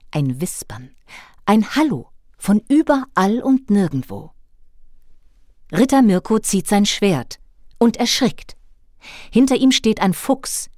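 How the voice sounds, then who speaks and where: Female storyteller for children's audio tale: animated, lowish voice, dry close studio sound. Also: no room sound. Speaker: narrator. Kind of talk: scripted story narration for children.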